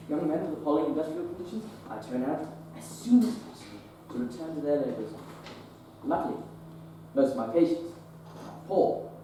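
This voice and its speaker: A young man playing a troubled Victorian surgeon in a stage play, halting at first and then breaking into impassioned outbursts. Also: high voice, dark tone, slight background noise. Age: young adult. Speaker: stage actor. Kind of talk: teen stage play performance. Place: theater stage. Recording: echoey theater recorded from far off.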